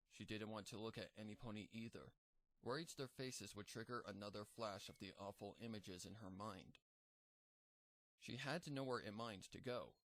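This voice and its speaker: A man narrating a dark fan fiction story in a measured, somber reading. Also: on the low side. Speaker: fanfic narrator.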